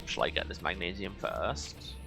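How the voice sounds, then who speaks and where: A man's voice: deep voice, very animated, moderate pace, creaky, music in the background. A man giving casual video game playthrough commentary in a dry, sardonic, self-deprecating manner.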